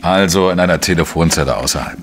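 An actor playing a dry, defiant investigative journalist in a radio play, with a sardonic, laconic manner. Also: male voice, low-pitched, quick pace, faint music behind, lightly acted. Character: dry, defiant male investigative journalist, narrating his case. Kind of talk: radio play dialogue and first-person narration.

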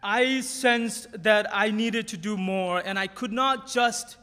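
Man, 30s, high voice, earnest church testimony, podium mic, long sanctuary reverb. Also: fairly even intonation, takes it slowly, pushed and bright. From Nepal. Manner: earnest storytelling with light humor, restarts. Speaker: guest speaker at church. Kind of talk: personal testimony from a pulpit. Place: large church sanctuary stage. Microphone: podium microphone.

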